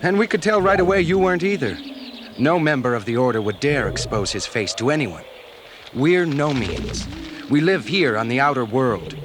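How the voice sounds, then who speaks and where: An actor playing an earnest, resolute rebel fighter in a 1970s space-opera audio drama, with brisk declarative speech. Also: male voice, medium pitch, expressive, close to an everyday voice. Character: resolute male rebel swamp fighter, loyal guide. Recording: noisy outdoor sound effects behind.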